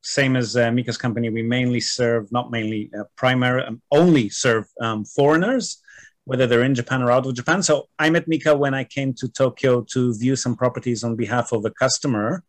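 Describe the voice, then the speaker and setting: A man hosting a casual video call interview, chatty and somewhat halting, often repeating the starts of his words. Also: mid-range voice, no echo. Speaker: host of a real estate show.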